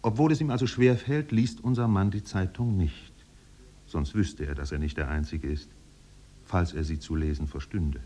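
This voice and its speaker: A wry storyteller in a 1950s radio play. His voice is low and husky, and he phrases his poetic observations with deliberate, literary care. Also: dark tone. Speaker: narrator. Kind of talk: scripted radio drama narration, 1950s.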